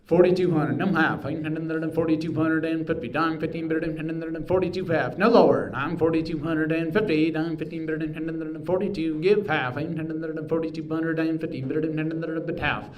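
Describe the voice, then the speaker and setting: A male auctioneer with a voice pitched a little above average runs a live sale. He chants bids in rhythmic, repeating patterns padded with filler words. When he addresses bidders, he lays things out in plain, informal speech. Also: steady tone, fast, few pauses, muffled recording.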